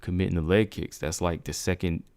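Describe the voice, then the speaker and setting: A man's voice: sits low, fairly even intonation, a little fast, a little mellow, clear, resonant tone, no echo. A man hosting a casual MMA livestream, asking his viewers rhetorical questions. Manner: talks straight to viewers, asks rhetorical questions. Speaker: MMA talk show host.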